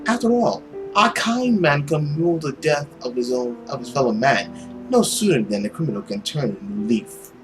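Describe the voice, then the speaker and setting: A woman voicing a suave male villain who preys on people, with a theatrical, condescending manner and drawn-out words. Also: very deep voice, animated, over music. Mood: cool philosophizing.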